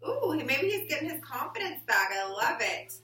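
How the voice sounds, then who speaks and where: A woman's voice: high voice, big pitch swings, normal speed, bright, forceful tone. A woman teaching an elementary class, leading an interactive read-aloud discussion with encouraging questions. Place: classroom with children answering. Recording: roomy, distant mic, faint noise.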